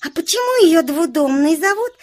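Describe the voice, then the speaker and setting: A woman playing a naive, whiny little hare boy in a children's audio play, with drawn-out, wide-eyed childlike delivery. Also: very high-pitched, expressive. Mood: curious.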